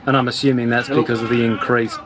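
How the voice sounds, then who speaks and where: A man working as a marine technician, giving a measured technical explanation. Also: mid-range voice, level tone, crowd noise. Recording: noisy on-location ambience.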